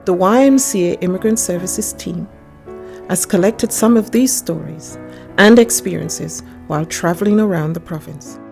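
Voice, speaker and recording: Mellow low-voiced woman narrator over music. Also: moderate pace, vocal fry. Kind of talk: scripted narration.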